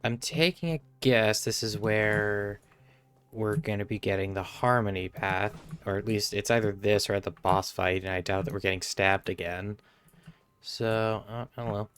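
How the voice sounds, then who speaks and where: A man's voice: lowish voice, steady tone, moderate pace, a bit bright, clear, resonant tone. A man streaming a game, giving offhand commentary and reading text aloud, sometimes stumbling over it.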